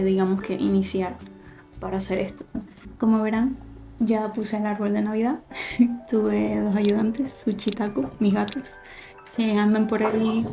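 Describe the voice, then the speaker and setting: A woman, chatting casually in a home vlog, soft-spoken and thinking aloud with small pauses. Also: mid-range voice. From Venezuela. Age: 30s. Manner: soft, relaxed, thinking aloud with fillers and restarts. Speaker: artist vlogger. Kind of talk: casual vlog talk to camera. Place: living room at home, cats playing nearby.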